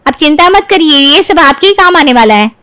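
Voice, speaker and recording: A woman's voice: high voice, lively intonation, moderate pace, fairly bright tone, dry recording, clean studio sound, pitched above the performer's own voice. A woman voicing a fault-finding, bossy young sister-in-law with a condescending, nagging manner in an audio story.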